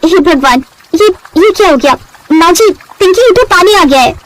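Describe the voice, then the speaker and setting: A woman's voice: very high-pitched, lively intonation, moderate pace, pitched above the performer's own voice, poor audio. A woman voicing a caring, anxious young wife and mother in a cartoon moral story, earnest and concerned. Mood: alarmed.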